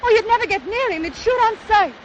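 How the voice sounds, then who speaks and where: Imperiled radio heroine, very high voice, anxious and pleading, melodramatic delivery, slightly noisy vintage recording. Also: female voice, lively intonation, quick pace, highs cut off. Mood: frightened, despairing. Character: frightened, anxious female castaway in peril. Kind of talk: 1940s radio drama acting.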